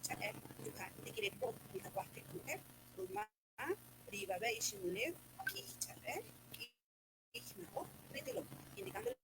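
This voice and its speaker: Teacher woman, lively earnest voice. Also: high-pitched, a little slow, vocal fry, noisy background. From Guatemala. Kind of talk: recorded video message.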